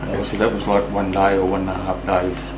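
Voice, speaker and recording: A man puts informal questions in a fairly low, monotone voice. The room is very reverberant, with clear background noise. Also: fairly quick.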